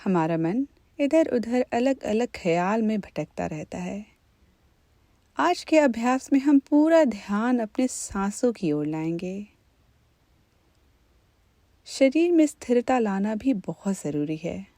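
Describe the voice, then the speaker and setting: A woman leading a guided meditation as an instructor, in a calm, gentle, unhurried manner. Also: medium pitch, no echo.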